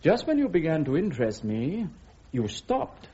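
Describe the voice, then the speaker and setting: An actor playing a pushy, insinuating newspaper reporter, ingratiating and sly, in a radio drama. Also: male voice, a little high, huge pitch swings, moderate pace, warm tone, breathy edge, exaggerated voice. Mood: sly, probing. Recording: dull lo-fi radio drama recording.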